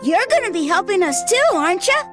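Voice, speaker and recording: A woman voicing a gentle, worried young girl in a video game, with a hesitant, earnest manner. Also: very high voice, very animated, fairly quick, over music. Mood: hopeful. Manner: hesitant, soft-hearted, earnest. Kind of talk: animated video game voice acting.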